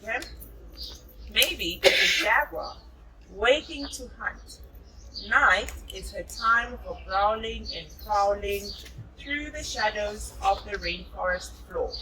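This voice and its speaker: A woman's voice: medium pitch, animated, takes it slowly, pushed and bright, far from the mic, a little hiss or hum, poor audio. A South African woman reading a picture book aloud to young children and explaining it, encouraging them and confirming what they say.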